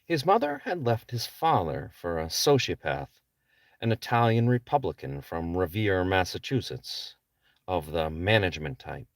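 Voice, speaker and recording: Deep-voiced man narrating an audiobook, lively intonation. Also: moderate pace, no echo. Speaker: author reading his own novel as audiobook. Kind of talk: scripted narration, audiobook reading. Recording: distant microphone.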